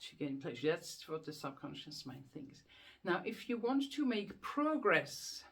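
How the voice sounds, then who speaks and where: Earnest Swiss-accented woman explaining. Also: medium pitch. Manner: earnest, repeats words while thinking, rhetorical questions. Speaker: hypnotherapist. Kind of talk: self-help explainer to camera.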